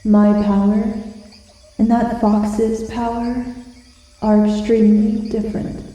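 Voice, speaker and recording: A woman playing a proud, feral but soft-hearted giant wolf girl in an ASMR roleplay, with breathy, dramatic pauses. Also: a little high, flat delivery, dull audio, faint music behind. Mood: confident, awed. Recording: very echoey, distant from the mic.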